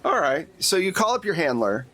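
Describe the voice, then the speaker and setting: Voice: mid-range voice, sing-song, fairly quick, sharp tone. A game master casually narrating and prompting players in a tabletop roleplaying game.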